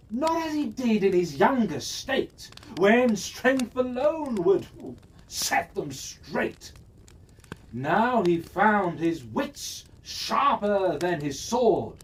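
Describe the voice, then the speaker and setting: Children's storyteller, rhyming verse, sing-song cadence, very slow, faint background hiss. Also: medium pitch. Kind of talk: rhyming fairy-tale narration for children. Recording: distant mic, faint hiss.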